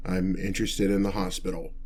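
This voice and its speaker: Man playing a deep-voiced, stammering, meek vampire. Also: level tone, a little fast, darkish tone, slight background noise, lightly acted. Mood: flustered, pleading. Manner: hesitant, stammering, apologetic and rambling. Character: meek, nerdy, awkward newly turned male vampire. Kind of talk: ASMR roleplay monologue.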